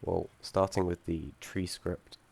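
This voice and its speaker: A young man with a low, gravelly voice, audibly croaky from being under the weather. He talks through his game's code in a halting way, full of ums and self-corrections, with the odd dry joke dropped in. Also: level tone, a little mellow. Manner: hesitant, many ums and restarts, dry asides. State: croaky from feeling unwell. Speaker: student presenting his game project. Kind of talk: screen-recorded code walkthrough.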